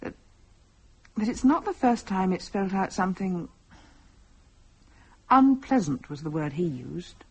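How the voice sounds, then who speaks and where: An actress playing an anxious, conscientious wife in a radio drama, earnest and faltering, with a British accent. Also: female voice, mid-range voice, sing-song, unhurried, long pauses, husky, heavily acted, rough recording. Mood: anxious, troubled. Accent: British English.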